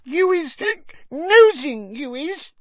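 A performer voicing a tipsy, tearful, fiercely loyal female house elf, squeaky and whimpering in broken speech for a storybook. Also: sing-song, slow, no room sound, dull audio, exaggerated voice. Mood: indignant, slurred. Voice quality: very high, squeaky. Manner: whimpering, hiccuping, broken third-person speech.

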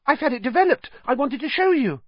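A man voicing an eager, talkative young schoolboy fan with a piping, breathless voice and a rapid string of questions. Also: very high voice, very animated, no echo, voice pushed up. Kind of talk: audiobook character voice. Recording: dull, dry lo-fi audio.

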